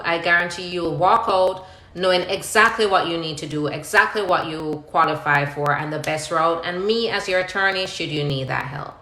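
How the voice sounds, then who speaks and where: A woman's voice: fairly low pitch, bright, forceful tone. A woman attorney hosting a live question-and-answer session, reading out viewer questions and explaining the answers step by step in a conversational way. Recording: roomy, echoing live-stream sound.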